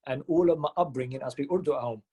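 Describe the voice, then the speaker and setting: Young guy from mumbai w a london accent, husky, rattling off stories on a podcast, lots of ums. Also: medium pitch. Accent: London English. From Mumbai, India. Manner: fast, animated, with many fillers and restarts. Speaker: podcast guest. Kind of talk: casual interview storytelling.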